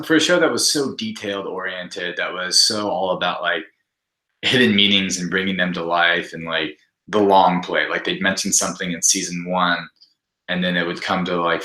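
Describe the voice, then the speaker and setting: A young man chatting casually and giving his opinions in a halting, rambling way, peppering his talk with "like". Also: deep voice, fairly even intonation, sharp tone. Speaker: podcast guest. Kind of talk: casual chat, opinionated commentary. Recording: echoey, roomy sound.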